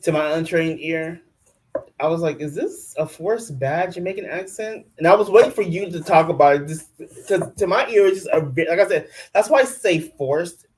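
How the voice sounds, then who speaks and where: A man co-hosting a casual recap show, chatty and quick to hedge his opinions. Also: fairly high pitch, darkish tone. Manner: chatty, hedging. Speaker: podcast co-host.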